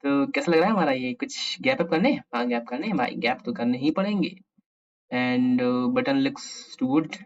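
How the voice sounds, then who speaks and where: Indian male tutor, mid-pitched voice, muffled lo-fi recording, informal, mixes Hindi and English, talks to himself. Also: lively intonation, moderate pace. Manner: informal Hindi-English mixing, thinking aloud. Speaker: programming tutorial host. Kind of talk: casual screen-share coding tutorial. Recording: dull lo-fi microphone sound.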